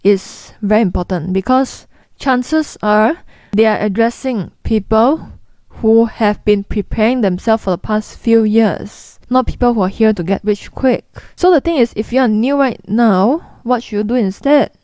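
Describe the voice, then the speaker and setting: Brief: young Singaporean-accented woman, fairly high voice, blunt and chatty vlogger, dry close recording. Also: unhurried, dark tone, no echo. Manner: blunt, chatty, mimics people in quotes. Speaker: investing vlogger. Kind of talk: direct-to-camera explainer.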